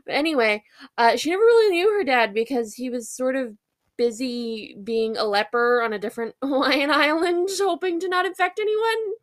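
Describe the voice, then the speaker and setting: A woman's voice: high-pitched, animated, moderate pace, no room sound, studio quality. A young woman hosting a history podcast, telling the story in a casual, chatty way, full of fillers and wry asides.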